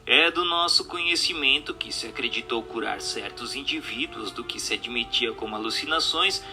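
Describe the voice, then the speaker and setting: Bright, forceful Brazilian male narrator. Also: mid-range voice, expressive, moderate pace. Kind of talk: scripted audiobook-style narration of a book. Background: music.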